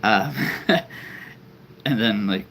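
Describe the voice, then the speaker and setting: A man with a very deep voice, chatting casually and rambling on a live stream as a toy-collecting channel host. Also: extremely slow, a bit bright, a little hiss or hum. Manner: chatty and rambling, laughs often.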